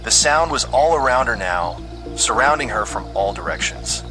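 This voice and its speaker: A man's voice: mid-range voice, lively intonation, normal speed, poor audio. A man reading a scripted horror story with dramatic, suspenseful delivery. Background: music under the voice.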